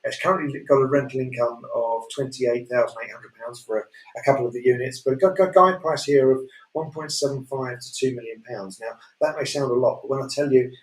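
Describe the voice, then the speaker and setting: A man with a Yorkshire accent presenting auction lots in a relaxed way, full of fillers. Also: medium pitch, a little fast. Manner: relaxed, many fillers, chuckles. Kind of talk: casual chat describing property lots. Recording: echoey room, distant mic.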